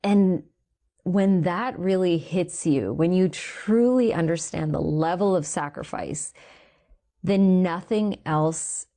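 Earnest low-voiced woman sharing testimony. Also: warm tone. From Canada. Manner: earnest, slows to stress key words. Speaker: guest. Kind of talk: faith testimony interview.

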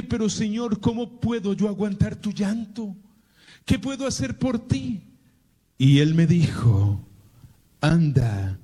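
A Colombian priest with a Medellín accent preaches in a storytelling sermon, slipping into the voices of the people in his stories. His voice sits a little high for a man but sounds mellow and dark. A long reverb trails each phrase, as if he speaks in a large hall. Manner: dramatic, acts out the people he quotes.

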